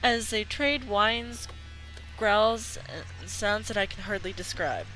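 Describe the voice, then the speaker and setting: A woman hosting a gaming video, reading a visual novel aloud haltingly, stumbling and correcting herself. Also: medium pitch, pushed and bright, faint music behind, rough recording.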